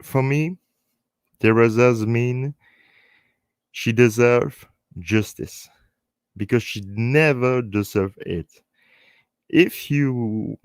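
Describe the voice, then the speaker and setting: A man with a French accent and French as his first language, hosting a live stream in halting English, speaking earnestly and unscripted in short phrases with repeated words. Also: fairly low pitch, darkish tone, no echo. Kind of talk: earnest unscripted talk to online viewers.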